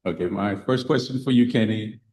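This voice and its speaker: A man hosting an interview, reading his introduction and asking questions in a measured way. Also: lowish voice, a little mellow, echoey room. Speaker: host.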